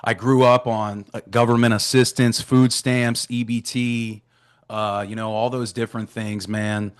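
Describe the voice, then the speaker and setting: A man's voice: mid-range voice, flat delivery, measured pace, clean tone. A man in his thirties from Florida, a guest on a video call, openly telling his life story and often adding "right?" and "man". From Florida, USA. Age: 30s. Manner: open, leans on "right?" and "man". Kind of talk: candid personal storytelling. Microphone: remote video call.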